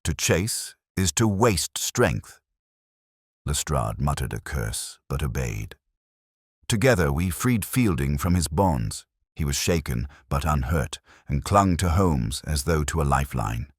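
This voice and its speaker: Super deep guy reading a detective story, dramatic and measured, lively pitch swings. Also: breathy edge, no room sound, studio quality. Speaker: audiobook narrator.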